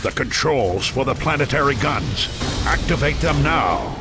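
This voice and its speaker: An actor playing a noble, resolute robot commander in a video game, grave, gravelly and commanding. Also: male voice, mid-range voice, animated, measured pace. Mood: urgent, rallying. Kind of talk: dramatic video game voice acting. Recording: loud music and battle noise behind.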